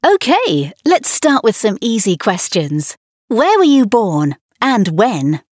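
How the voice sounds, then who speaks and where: A woman playing a cheerful host of a children's internet radio show, asking clearly enunciated, upbeat questions in a scripted textbook dialogue. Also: medium pitch, wildly swooping pitch, takes it slowly, a little mellow, no room sound, studio quality, exaggerated voice.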